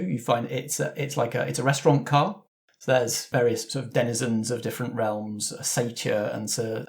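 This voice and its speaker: A man improvising narration as the game master of a tabletop roleplaying game. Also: medium pitch.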